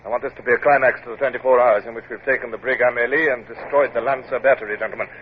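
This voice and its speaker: An actor playing a commanding, stern British naval captain in an old radio adventure drama, speaking in clipped, formal orders. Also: male voice, medium pitch, fairly even intonation, rapid-fire, lightly acted. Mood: measured, firm. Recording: tinny, noisy vintage radio recording.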